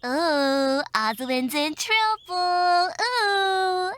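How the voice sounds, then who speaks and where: Very high, excitable little girl. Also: slight background noise, heavily acted. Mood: gleeful teasing. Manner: childish, exclaiming, sing-song drawn-out words. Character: excitable, teasing little girl, a younger sister. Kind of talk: audio drama character acting.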